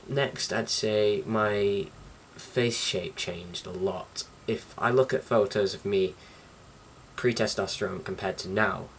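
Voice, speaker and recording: A young man talking casually to camera as a vlogger, in an informal, slightly hesitant and rambling way. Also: low voice. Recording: distant mic with faint background noise.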